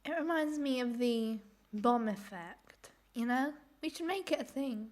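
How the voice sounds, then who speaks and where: A woman reacting casually to clips in a rambling, self-deprecating way, her voice hoarse and giving out from a cold. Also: on the high side, expressive, a little slow. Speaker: host reacting to cartoon clips.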